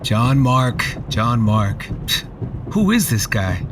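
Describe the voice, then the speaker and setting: A man playing a gruff, exasperated police chief who speaks in terse bursts. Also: mid-range voice, sing-song, takes it slowly. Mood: exasperated, disbelieving. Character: gruff exasperated male police chief muttering and radioing dispatch. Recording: noisy, distant, vehicle ambience.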